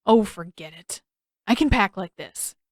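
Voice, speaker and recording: A woman voicing an excitable, flustered teenage boy with an exasperated manner in a story. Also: mid-range voice, measured pace, dry recording, clean studio sound, close to an everyday voice. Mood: hurried, exasperated.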